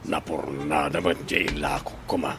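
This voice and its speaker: A shady, scheming abductor from an Ilocano radio drama. His voice sits very low and carries an Ilocano accent, over light background noise and ambience. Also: fairly even intonation, moderate pace, vocal fry. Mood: scheming, frustrated. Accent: Filipino, Ilocano. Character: shady male child abductor plotting with an accomplice.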